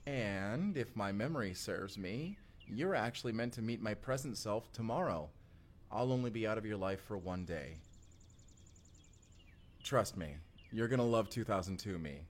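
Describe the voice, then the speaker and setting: A man's voice: fairly low pitch, lively intonation, moderate pace. A man playing a young, friendly time traveler in an audio roleplay, talking casually and intimately straight to the listener. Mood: affectionate, reassuring. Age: young adult. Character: friendly, chatty male time traveler, college-aged-looking, eager and cautious. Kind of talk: first-person immersive audio roleplay acting.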